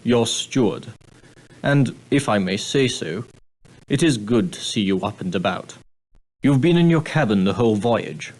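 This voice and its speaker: An actor playing a straight-laced, proper ocean-liner steward in a video game, speaking formally and deferentially with precise, politely dry phrasing. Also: male voice, fairly low pitch, lively intonation, a little slow, rough recording. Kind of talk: scripted game dialogue, giving guidance.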